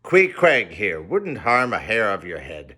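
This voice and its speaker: A man's voice: sits high, big pitch swings, unhurried, sharp tone, pitched above the performer's own voice, full character voice. A man voicing a grinning, easygoing male innkeeper with a folksy, drawling way of talking. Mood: teasing.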